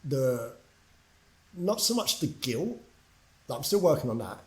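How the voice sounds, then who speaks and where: A young man from England tells his story as a podcast guest, candid and loose. He swears often and fills his sentences with "like" and "um", at a middle pitch. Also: sing-song, measured pace, a little mellow. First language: English. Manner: candid, swears freely, lots of fillers. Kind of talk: casual storytelling.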